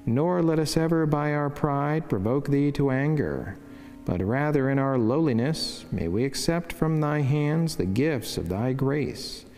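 A man, a priest, reading a scripted devotional in a measured, formal and reverent way. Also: mid-range voice, lively intonation, darkish tone, faint music behind. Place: wood-panelled church sanctuary, indoors. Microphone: lectern microphone. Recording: long church reverb.

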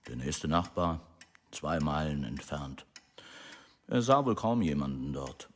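An actor playing a genial, agreeable American husband in a German radio drama, husky and unhurried. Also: male voice, a bass voice, animated, a little hiss or hum. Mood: reminiscing.

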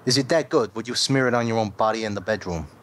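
A man's voice: fairly low pitch, fast, slight background noise, natural voice. A man playing a shady, dim, chatty mobster on a prank call, rambling and hesitant in colloquial English. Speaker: prank caller.

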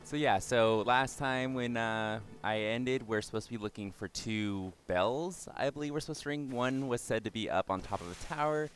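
A young man with a slightly raspy, hoarse voice giving casual let's-play commentary, swearing and mocking himself as he plays. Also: mid-range voice, normal speed, few pauses. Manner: swears and exclaims at the game, self-mocking. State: hoarse from yelling. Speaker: gaming channel host.

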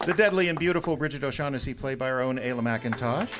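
A man's voice: mid-range voice, quick pace, heavy background noise. A man hosting a live theater show, announcing the cast at curtain call in a raised, projected voice. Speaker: theater host introducing the cast.

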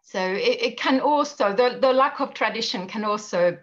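A woman author, speaking in a thoughtful, measured way on a video call. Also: on the high side, expressive. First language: Finnish. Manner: thoughtful, measured, soft trailing ends. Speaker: guest author. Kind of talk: video call panel discussion. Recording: dull lo-fi call audio.

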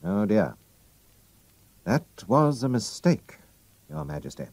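A man voicing a calm, clever male detective who talks briskly as he reasons. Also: lowish voice, vocal fry, slightly rough, no echo, voice pushed up, exaggerated voice. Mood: cool, knowing. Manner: brisk, deducing, lively.

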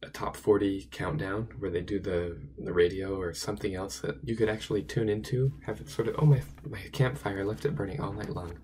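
A man with a hoarse, sore-throated voice giving casual, chatty commentary while playing a video game, talking to himself and to the game. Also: lowish voice, fairly even intonation, fairly quick, few pauses, a little mellow. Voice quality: gravelly, raspy. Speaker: let's play gamer.

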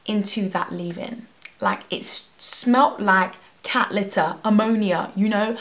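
Animated young woman with gravelly tone. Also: mid-range voice. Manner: animated, expressive, gesturing a lot. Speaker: hair vlogger. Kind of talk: storytime vlog. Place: bedroom at home. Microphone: camera at face distance.

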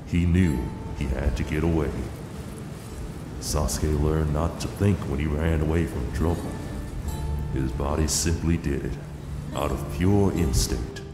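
A man's voice: extremely low pitch, steady tone, measured pace. A man narrating an audio drama as a grave, solemn storyteller of a western tale. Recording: echoey long reverb under loud music. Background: music.

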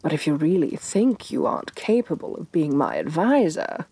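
Evil fantasy queen played by a woman for ASMR roleplay: low-pitched, breathy and soft, haughty and menacing. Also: lively intonation, no echo. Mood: threatening. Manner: imperious, deliberate, disdainful, sighing with exasperation.

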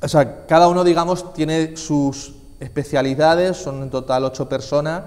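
A young man in his twenties with a Spanish accent from Spain speaks in a fairly high voice. He answers interview questions casually and emphatically, repeating key phrases. The room adds noticeable reverb. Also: fairly even intonation, moderate pace, darkish tone. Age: 20s. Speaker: interview guest, film festival organiser. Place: small indoor TV studio, seated at a desk.

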